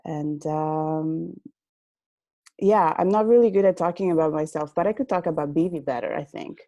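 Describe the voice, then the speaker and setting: A woman's voice: low voice, moderate pace, dark tone, no room sound, studio quality. A woman from Italy, a gallery owner and artist answering interview questions hesitantly on a video call. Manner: hesitant, many fillers.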